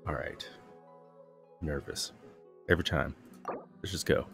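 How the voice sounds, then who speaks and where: A man with a low voice talks through a video game as he plays. It is casual commentary from a gaming host, with game music behind him. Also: steady tone, unhurried, creaky.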